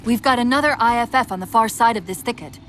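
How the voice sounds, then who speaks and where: An actress voicing a calm, analytical female AI companion guiding a soldier in a video game. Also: fairly high pitch, quick pace, noisy background, lightly acted. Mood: focused.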